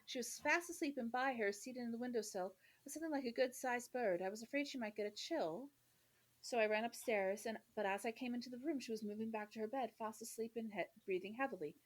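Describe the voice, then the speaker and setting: High-pitched, playfully theatrical woman podcaster. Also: fast talker. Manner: theatrical mock indignation and playful sarcasm. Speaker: podcast co-host. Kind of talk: casual fan chat about a classic novel.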